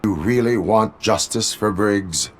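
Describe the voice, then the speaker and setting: An actor playing a stoic cyborg police officer, dutiful and literal, with deadpan, clipped, formal delivery. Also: male voice, lowish voice, unhurried, lightly acted. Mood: flat, businesslike. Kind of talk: video game dialogue acting.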